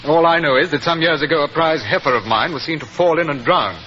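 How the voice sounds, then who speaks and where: An anxious, forceful lord. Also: male voice, a little high, fast talker. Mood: informative. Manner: earnest, fretful, genteel. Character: anxious, well-bred English lord facing ruin. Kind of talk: 1940s radio acting. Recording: muffled, noisy 1940s radio recording.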